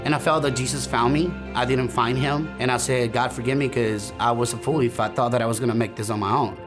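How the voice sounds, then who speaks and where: A young man from El Salvador tells his story in an earnest, halting way, leaning on fillers as he goes. His voice sits at a middle pitch, and music plays clearly beneath him throughout. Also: fairly even intonation. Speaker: church member giving testimony. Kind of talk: personal testimony, recorded interview. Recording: music bed under voice.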